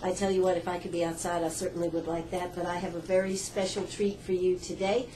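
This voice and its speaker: A woman's voice: fairly low pitch, flat delivery, fast, dark tone, slight background noise. A woman hosting a radio interview in an art gallery, chatty and effusive, with a folksy way of talking. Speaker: radio host.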